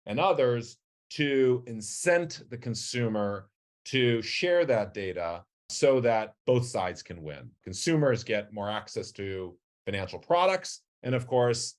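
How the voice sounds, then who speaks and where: A middle-aged man giving measured, articulate explanatory answers as an executive guest in a business interview. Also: fairly low pitch, expressive. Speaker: company chief executive, podcast guest.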